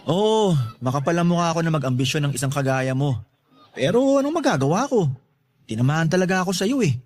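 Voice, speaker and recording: A cheeky young Filipino neighborhood loafer in love. His voice is high and leaps around animatedly as he teases and wheedles in a corny, playful way. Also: big pitch swings, a little fast. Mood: pleading. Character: cheeky, lovestruck young male neighborhood loafer, relentlessly teasing suitor. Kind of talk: Tagalog radio drama acting.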